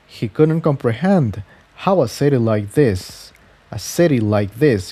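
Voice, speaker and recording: Slow, mellow man reading a story. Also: medium pitch. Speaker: fan fiction reader. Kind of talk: scripted story narration.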